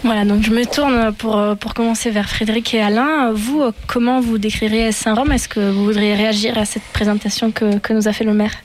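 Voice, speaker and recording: A woman's voice: fairly high pitch, level tone, fairly quick, few pauses, slight background noise. A woman interviewer putting brief questions to her guests.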